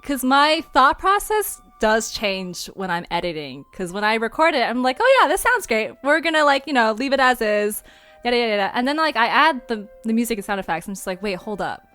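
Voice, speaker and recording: Lively, expressive woman chatting over music. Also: fairly high pitch, fairly quick. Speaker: podcast creator, director, editor. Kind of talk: casual chat.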